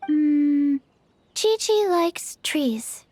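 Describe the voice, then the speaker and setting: Very slow, tiny-voiced little girl. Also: sits high, lively intonation, darkish tone, exaggerated voice. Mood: dreamy. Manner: slow, simple short sentences. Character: small dreamy little girl, gentle and simple. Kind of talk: animated game dialogue.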